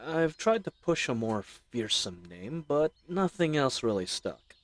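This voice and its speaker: A man's voice: a little high, very animated, a little slow. A man playing a chatty, roguish bandit leader in an audio roleplay, with folksy slang and teasing mock courtesy. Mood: casual, reassuring. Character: roguish, chatty, friendly male bandit leader, mockingly polite. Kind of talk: scripted audio roleplay addressed to the listener.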